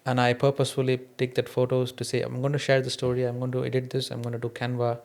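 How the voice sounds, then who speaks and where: A man's voice: medium pitch, completely flat delivery, fast, vocal fry, a little mellow. A man with an Indian accent, a non-native English speaker, talking candidly and reflectively about his own experiences as a podcast host. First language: an Indian mother tongue, not English. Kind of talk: candid conversation, personal anecdotes.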